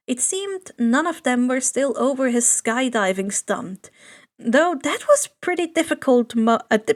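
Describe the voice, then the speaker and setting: A woman narrating fan fiction aloud as a podfic reader. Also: high-pitched.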